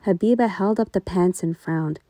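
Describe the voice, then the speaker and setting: Female storybook narrator: soft-edged mellow voice, expressive and deliberate, closely recorded with no room sound. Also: on the low side, no echo. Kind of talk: children's storybook reading.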